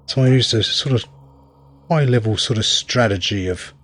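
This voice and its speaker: A man's voice: medium pitch, normal speed, fairly bright tone, soft background music. A man giving casual gameplay commentary, rambling wryly as he thinks aloud while playing. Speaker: game reviewer and player.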